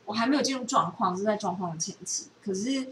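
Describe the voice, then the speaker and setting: Fast-talking Taiwanese woman, slightly distant mic. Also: medium pitch, a bit bright, a little hiss or hum. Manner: mixes English words into her talk. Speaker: podcast co-host. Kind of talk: casual chat.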